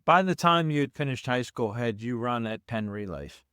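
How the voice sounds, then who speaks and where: Man asking smooth interview questions. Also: mid-range voice, expressive, moderate pace, bright, forceful tone, no echo, studio quality. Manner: brief, curious questions.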